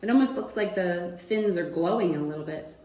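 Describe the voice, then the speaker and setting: A woman's voice: on the low side, a little fast, dark tone. A woman reading a children's nonfiction book aloud, using simple child-directed phrasing and wondering aloud in casual asides. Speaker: read-aloud presenter. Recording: roomy, echoing indoor sound.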